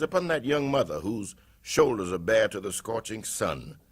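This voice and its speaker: A low-voiced older man reads a historical oration in a slow, measured way. His delivery swings widely, swelling to impassioned heights and then dropping to near-hushed tones. The reading is dramatic and deeply solemn throughout. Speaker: reader of a historical speech.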